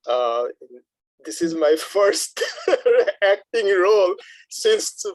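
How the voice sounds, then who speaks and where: An older man chatting casually in a group discussion, halting and amused as he shares his thoughts. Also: high voice, very animated, no room sound. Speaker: workshop participant, first-time amateur actor. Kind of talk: casual post-show discussion.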